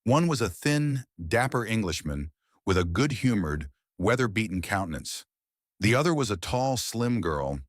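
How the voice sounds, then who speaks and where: Low-pitched, animated male story narrator. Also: normal speed, dry recording, clean studio sound. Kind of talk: scripted narration of a 1920s pulp horror story.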